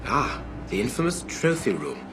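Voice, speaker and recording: An actor playing a grave, wistful gentleman speaking in a solemn, measured way in a video game. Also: mid-range voice, big pitch swings, loud music behind the voice, natural voice. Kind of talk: video game cutscene acting.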